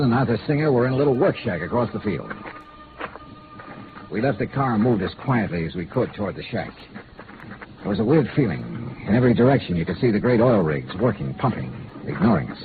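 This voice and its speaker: An American actor playing a tough, wry insurance investigator, speaking and narrating in clipped, deadpan radio noir style. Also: male voice, mid-range voice. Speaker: lead actor and first-person narrator. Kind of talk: 1950s radio noir acting. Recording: phone-like vintage radio recording.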